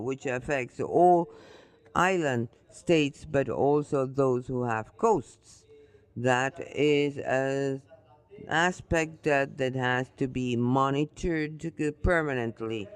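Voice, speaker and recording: Guy on a panel answering slow and careful, lots of uhs, really clean clear voice. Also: on the high side. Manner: slow and halting, with many uh fillers.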